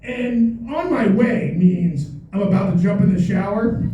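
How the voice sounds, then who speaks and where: A man's voice: high-pitched, a little slow, muffled recording, a little hiss or hum. A middle-aged American man performing a playful stand-up comedy set on a club stage, teasing the crowd with mock exasperation. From United States. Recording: echoey room, voice a bit distant. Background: audience laughter.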